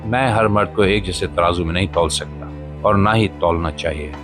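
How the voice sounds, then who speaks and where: Deep voiced guy doing a dramatic solemn monologue, music playing behind him. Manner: emphatic, rhetorical, solemn delivery with short pauses. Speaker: podcast host and voiceover narrator. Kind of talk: scripted spoken-word monologue, dramatic narration.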